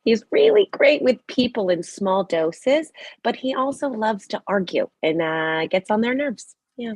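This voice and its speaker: Lady doing a chatty middle-aged mom, bit raspy, breezy and judgy, comedy script read. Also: a little high, sing-song, a little slow, heavily acted. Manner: breezy, needling. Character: blithely judgmental, chatty middle-aged mother.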